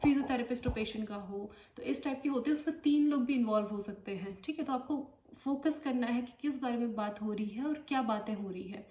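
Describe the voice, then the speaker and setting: A woman's voice: on the high side, moderate pace, few pauses, a little mellow, distant mic. A woman from India, a nurse, giving a casual spoken tutorial and often checking in with her listeners as she explains. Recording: echoey room, voice off-mic, faint noise.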